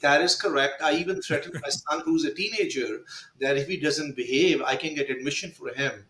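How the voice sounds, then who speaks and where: Male guest, bright voice, composed courteous delivery, roomy sound. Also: fairly high pitch, a little hiss or hum. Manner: measured, courteous. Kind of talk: conversational interview answers. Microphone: video call.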